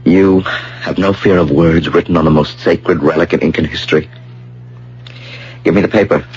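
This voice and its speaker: A man's voice: deep voice, steady tone, moderate pace, a little mellow, slightly rough. An actor playing a proud, protective young male fiancé of Inca descent in an old-fashioned radio drama, grave and insistent. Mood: brooding, solemn. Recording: dull, hissy old radio broadcast with hum.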